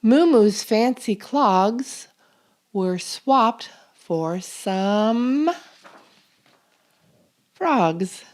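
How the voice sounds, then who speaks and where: A woman reading a children's picture book aloud as a storytime host, expressive and deliberate, drawing out key words. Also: a little high. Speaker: storytime reader and channel host.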